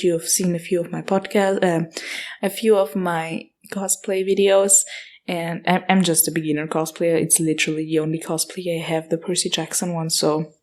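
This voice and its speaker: A woman's voice: fairly low pitch, a little mellow. Young Austrian woman chatting on a podcast. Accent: Austrian German. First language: German. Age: 20s. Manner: chatty, with frequent fillers and self-corrections. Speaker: podcast host. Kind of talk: casual solo podcast Q&A.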